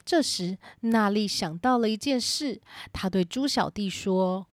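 Woman, children's story narrator, medium pitch, dry recording. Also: unhurried, no echo.

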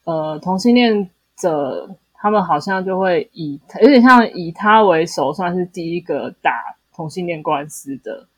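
Woman, Taiwanese Mandarin accent, lowish voice, dry close sound, casual book chat, hesitant, self-correcting. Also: expressive, a little slow, hardly any pauses, slightly rough, no room sound. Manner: hesitant, self-correcting explanations with many repeated affirmations. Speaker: podcast co-host.

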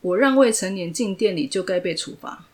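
A woman's voice: medium pitch, expressive, a little fast, natural voice. A woman voicing a stubborn, proud young shop owner who speaks firmly and indignantly. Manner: firm, indignant, raised voice.

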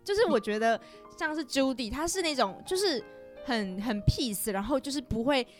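A woman's voice: high-pitched, sing-song, moderate pace, soft background music. A woman in her twenties hosting a podcast in a casual, chatty style. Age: 20s. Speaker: podcast host. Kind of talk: casual chat.

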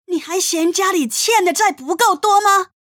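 A woman's voice: very high voice, moderate pace, fairly bright tone, dry recording, studio quality. A woman playing a furious, embittered wife and mother in a radio drama, scolding and sarcastic. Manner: scolding, sarcastic, foul-mouthed.

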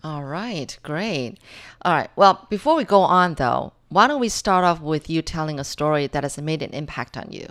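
A woman's voice: deep voice, lively intonation, normal speed. A woman from Taiwan hosting a radio studio interview, chatty and full of fillers. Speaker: radio host. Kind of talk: casual interview.

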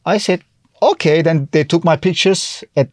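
A man's voice: fairly high pitch, moderate pace, no echo, studio quality. A middle-aged man from Turkey with a Turkish accent, chatting as an interview guest and telling stories with lots of restarts and acted-out remarks. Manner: chatty, restarts often, acts out his own past remarks. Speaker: guest, TV host and comedian. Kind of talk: casual interview chat.

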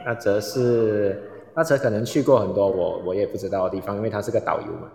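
A man's voice: fairly low pitch, fairly quick, vocal fry, mellow. A man from Penang with a Malaysian accent, chatting casually as a guest and mixing in English words. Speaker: guest listener taking the floor. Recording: echoey reverberant online audio room.

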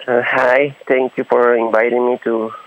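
A young man from Honduras, a footballer, answering radio interview questions in a halting way, often pausing to search for words. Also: mid-range voice, flat delivery, no room sound. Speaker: guest footballer. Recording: tinny phone-line radio sound.

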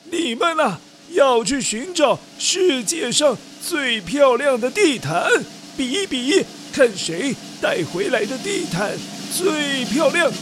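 A man voicing an ailing old king who proclaims slowly and deliberately, commanding but fatherly, in a children's audio drama. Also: very high-pitched, noisy background, voice pushed up, exaggerated voice.

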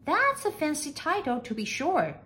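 A plucky storybook housekeeper, acted out by a woman, pitched well up high. The voice is chirpy, eager and polite, with bouncy, animated intonation. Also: normal speed, heavily acted. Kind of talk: storybook character acting.

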